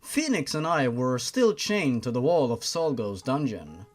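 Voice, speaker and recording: A man's voice: mid-range voice, big pitch swings, measured pace. A man hosting a fan fiction reading, narrating expressively and adding sardonic, incredulous asides.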